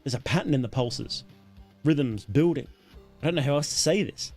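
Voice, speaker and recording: A man voicing an alarmed, urgent male agent with a sharp, agitated delivery in a dramatic horror story reading. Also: medium pitch, expressive, moderate pace, faint music behind. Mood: grave, urgent.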